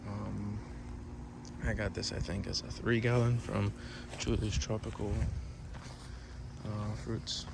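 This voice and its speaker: A man with a fairly low voice and a creaky, gravelly edge chats in a laid-back way as he hosts a garden tour. He sounds unscripted and conversational, recorded outside with clear ambient noise around him. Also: level tone, slow pace. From born in Cuba, raised in Miami. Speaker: host giving a tour of home fruit garden. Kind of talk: casual unscripted vlog narration. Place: outdoors in a backyard.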